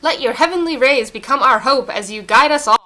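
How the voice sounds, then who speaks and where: A woman voicing a solemn female tree spirit from a video game, reading formal dialogue slowly and earnestly. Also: high-pitched, bright, forceful tone.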